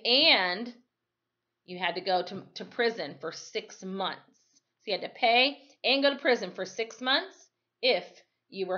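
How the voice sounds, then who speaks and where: Woman, storybook read-aloud, teacherly asides, very unhurried delivery, dull lo-fi sound. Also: medium pitch, pushed and bright. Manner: deliberate, checks listeners follow with questions.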